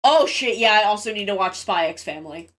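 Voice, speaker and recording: A woman's voice: mid-range voice, lively intonation, fairly quick, fairly bright tone. A woman with a congested, sniffly nose chats casually to livestream viewers, rambling, interrupting herself and swearing freely.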